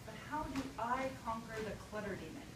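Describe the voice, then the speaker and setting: A woman reading a humorous personal essay to an audience, theatrical and emphatic, with deliberate pauses. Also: a little high, expressive. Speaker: writer reading own essay. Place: live reading at an event. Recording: echoey, distant live recording with loud noise.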